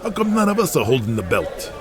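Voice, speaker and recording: A man's voice: medium pitch, huge pitch swings, quick pace, music in the background. A man playing a brash, resentful, ambitious pro wrestler who complains in slang, in an audio drama. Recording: crowd noise behind the voice. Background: arena crowd.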